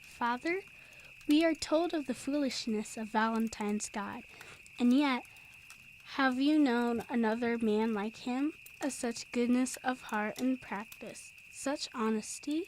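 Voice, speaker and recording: A female performer playing a gentle, devout young girl in an audio drama, speaking politely and carefully in old-fashioned phrases. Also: fairly high pitch, slow pace, a little hiss or hum, poor audio. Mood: earnest.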